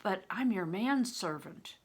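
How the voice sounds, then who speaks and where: A woman's voice: medium pitch, expressive, unhurried, breathy edge. An older woman voicing an anxious, earnest orphan boy in a storybook, urgent and questioning. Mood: eager, unsure.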